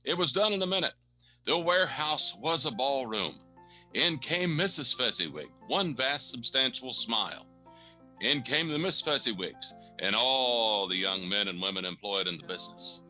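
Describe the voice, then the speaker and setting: Very bright, animated male narrator. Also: mid-range voice, moderate pace, faint music behind. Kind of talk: dramatic scripted narration for a radio play.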